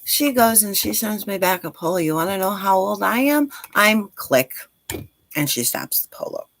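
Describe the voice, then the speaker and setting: A woman's voice: mid-range voice, expressive, moderate pace, fairly bright tone, a little hiss or hum. A woman in her forties chatting casually on a livestream as host, speaking directly to listeners in an encouraging, reassuring way. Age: 40s.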